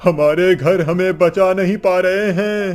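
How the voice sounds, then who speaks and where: A man's voice: high voice, a little slow, a little mellow. A man voicing an anxious, doubting villager in a cartoon story, agitated and declaiming. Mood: fearful. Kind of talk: cartoon story character acting. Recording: music behind the voice.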